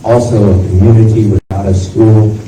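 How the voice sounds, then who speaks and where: A man making relaxed, chatty announcements to a small meeting room. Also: lowish voice, moderate pace. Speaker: event organizer. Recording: roomy, distant, noisy PA.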